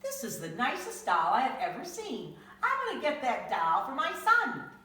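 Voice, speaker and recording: A woman voicing an eager male shopper in a read-aloud storybook, excited and rising. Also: high-pitched, moderate pace, voice pushed up, heavily acted. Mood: delighted. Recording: echoey room, from far off.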